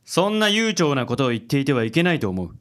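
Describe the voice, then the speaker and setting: A man's voice: medium pitch, sing-song, quick pace, fairly bright tone. A man playing a suave, overzealous, self-styled handsome man in a comic radio drama, pompous and passionate, sprinkling in English words. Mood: indignant, passionate.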